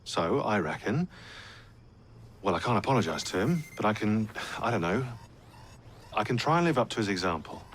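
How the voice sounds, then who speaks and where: An actor playing a weary, wry modern assassin in a video game, with a British accent and a halting, dry way of talking. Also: male voice, lowish voice, sing-song, slight background noise. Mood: regretful, sincere. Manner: dry sarcasm, halting confessional delivery. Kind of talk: naturalistic video game acting.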